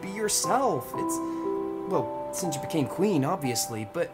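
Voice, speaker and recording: Young male dragon played by a woman, eager, stammering, lowish animated voice, loud score behind. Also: a little slow, loud music behind the voice. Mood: apologetic. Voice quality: lively, stammering when flustered. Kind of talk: fan audio drama acting. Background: loud musical score.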